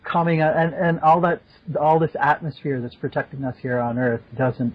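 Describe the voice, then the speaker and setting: A man chatting casually on a podcast panel, chiming in and thinking out loud. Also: a little high, normal speed. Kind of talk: casual chat, interjecting. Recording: dull, band-limited audio.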